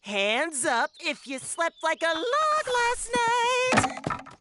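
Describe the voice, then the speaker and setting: A confident, perky young cartoon pet, chirping very high. The phrasing is slow and exaggerated with big swoops, and loud music plays underneath. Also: female voice, wildly swooping pitch, heavily acted.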